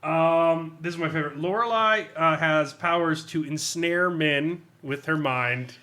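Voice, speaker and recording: A man co-hosting a podcast, giving an animated, sweary comedic review of a role-playing adventure, reading bits out and laughing often. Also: a little high, a little slow. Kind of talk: casual comedic review with read-out excerpts.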